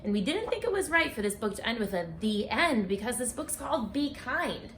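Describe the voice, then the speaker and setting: A woman reading a rhyming picture book aloud for children, speaking expressively and deliberately, straight to her young listeners. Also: a little high, big pitch swings, a bit bright. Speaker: children's book author and read-aloud presenter. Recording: echoey room with faint background noise.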